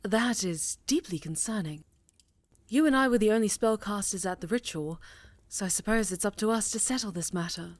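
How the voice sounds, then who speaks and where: A woman playing a stern, highly strung witch leader in a fantasy ASMR roleplay, speaking formally and with command to a pupil. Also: moderate pace, no echo, lightly acted. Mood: grave, resolute.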